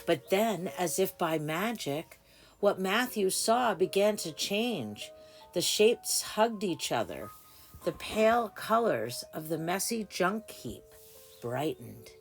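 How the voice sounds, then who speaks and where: A woman reading a children's storybook aloud with deliberate, dramatic phrasing for small children. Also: lowish voice, big pitch swings, faint music behind. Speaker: story time reader. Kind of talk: expressive children's storybook narration.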